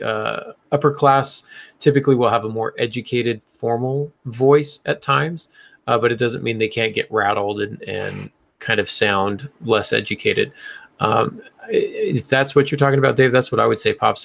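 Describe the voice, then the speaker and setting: A man's voice: medium pitch, steady tone, moderate pace, gravelly, a bit bright. A man co-hosting a podcast, thinking aloud as he explains in a casual panel discussion about writing. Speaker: podcast co-host.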